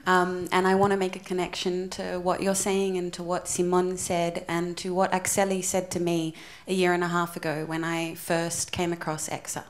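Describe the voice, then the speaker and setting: A woman in the audience speaks up loudly at a conference. Her delivery stays flat and even in pitch. She pauses often with ums as she builds her point. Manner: loud, hesitant with many ums. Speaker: audience participant. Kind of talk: conference discussion remark. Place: conference room in a museum.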